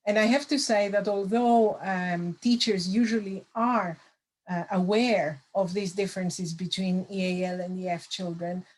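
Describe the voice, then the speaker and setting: A middle-aged woman, a lecturer answering audience questions over a video call, thoughtful with many pauses and fillers. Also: mid-range voice, steady tone, darkish tone, rough recording. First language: Greek. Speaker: language assessment lecturer.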